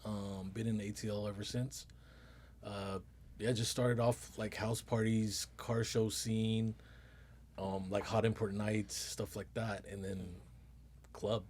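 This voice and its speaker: A man from California, a DJ guest on a podcast, speaking softly and hesitantly with many fillers. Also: on the low side, flat delivery, takes it slowly, slightly rough. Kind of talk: casual podcast chat.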